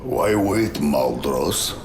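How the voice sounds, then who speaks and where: A man's voice: rumbling low, animated, drawn-out words, background noise, full character voice. A man playing a spiteful, hobbling henchman in a fantasy radio play, sneering and drawing out his words for emphasis. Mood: contemptuous. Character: hobbling, spiteful male henchman with crooked stick.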